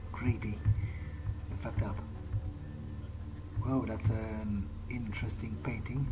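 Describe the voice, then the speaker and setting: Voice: medium pitch, lightly acted, low-quality recording. A game player voicing a jittery, exasperated young vampire in an improvised in-character playthrough, muttering, repeating words and swearing mildly. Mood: sardonic, creeped out. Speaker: let's player playing in character. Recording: game soundtrack music behind the voice.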